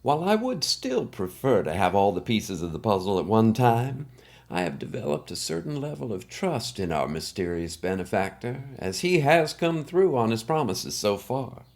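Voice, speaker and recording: A man's voice: lowish voice, sing-song, moderate pace, darkish tone. A man hosting a podcast with scripted narration. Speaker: podcast host.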